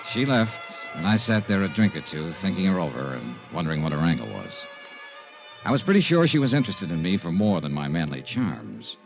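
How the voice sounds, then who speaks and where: An actor playing a wry, cocky insurance investigator in a radio drama, wisecracking and unflappable in 1950s hard-boiled style. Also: male voice, low voice, big pitch swings, fairly quick, vocal fry, over music, exaggerated voice. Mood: wry narrating. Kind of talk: 1950s radio acting, hard-boiled first-person narration. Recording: dull old radio recording.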